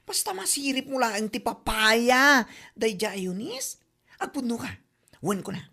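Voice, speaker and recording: An actress playing a nosy, sassy, gossiping busybody in a comedy drama, with an Ilocano accent. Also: female voice, a little high, big pitch swings, measured pace, gravelly, bright, forceful tone, husky, exaggerated voice. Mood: prying.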